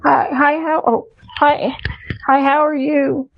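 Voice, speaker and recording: A woman's voice: high-pitched, little pitch movement, slow pace, vocal fry, highs cut off. A woman hosting an interview podcast in a casual style. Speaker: podcast host interviewing musicians.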